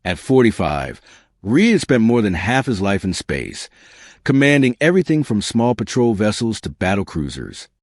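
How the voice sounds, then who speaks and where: A man's voice: on the low side, very animated, normal speed, no echo, studio quality. A man reading scripted science fiction audiobook narration as a storyteller.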